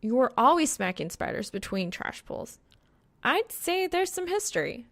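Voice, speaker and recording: A woman's voice: high voice, sing-song, measured pace, voice pushed up, heavily acted. A woman voicing a young, studious gamer woman in an audiobook, casual and teasing with a dry manner. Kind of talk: acted audiobook dialogue.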